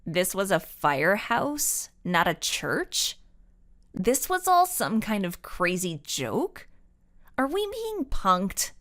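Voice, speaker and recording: Earnest storybook girl, acted by a woman, insistent and questioning, animated pitch, careful diction. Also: slow. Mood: insistent, incredulous. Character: earnest, insistent young adventurous girl Ava.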